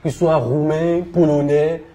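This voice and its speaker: A man with a West African French accent, answering conversationally as an interview guest, telling his experience in an animated way. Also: a little high, measured pace, rough recording. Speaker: interview guest, entrepreneur and coach.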